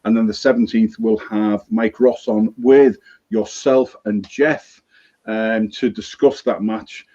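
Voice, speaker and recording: A man's voice: fairly low pitch, steady tone, slow pace, mellow. A male show host chatting casually and upbeat on a live stream, calling people mate, heard off-screen over a remote feed. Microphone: remote audio feed, off-screen. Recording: lo-fi dull sound.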